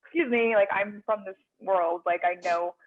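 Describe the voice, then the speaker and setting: A young woman from Nepal sharing a casual, animated story as an audience member on a video call. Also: medium pitch, moderate pace. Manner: chatty, animated storytelling with many fillers. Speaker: audience participant. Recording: tinny phone-like video call audio.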